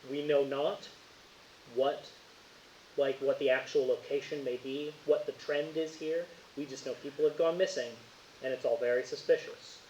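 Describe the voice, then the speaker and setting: A man playing a government handler briefing investigators in a measured, formal way during a tabletop game. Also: mid-range voice, steady tone, warm tone, lightly acted. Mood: measured, guarded. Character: male handler, official briefing investigators on missing people. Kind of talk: tabletop roleplay in character. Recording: muffled, hissy, roomy home recording.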